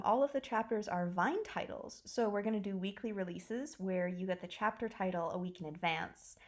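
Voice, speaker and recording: A woman in casual, joking chat as a co-host, with an irreverent manner. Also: fairly low pitch. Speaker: podfic co-creator and host.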